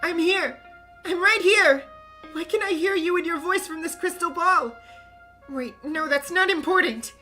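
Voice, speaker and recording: A woman voicing a frantic young shrine girl who calls out loudly and urgently. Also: very high voice, moderate pace, faint music behind. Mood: frantic, pleading. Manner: loud, urgent calling. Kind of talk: story reading with voiced characters.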